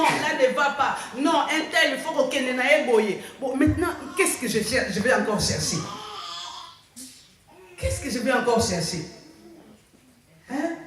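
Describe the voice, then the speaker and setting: A woman's voice: sits high, a bit bright. Woman pastor in an echoey church. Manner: preaching with frequent calls of "Alléluia" for congregational response. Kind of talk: sermon. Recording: very echoey, mic far off. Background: congregation answering "Amen".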